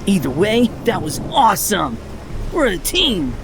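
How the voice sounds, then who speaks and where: A man's voice: high voice, huge pitch swings, measured pace, over music, heavily acted. A man playing an eager young superhero sidekick in an audio drama, gung-ho and exclamatory. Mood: excited.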